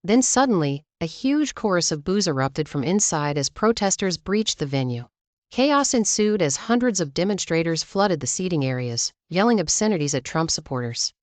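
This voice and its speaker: A woman's voice: fairly low pitch, animated, moderate pace, no echo. A woman delivering scripted news narration as a channel's narrator.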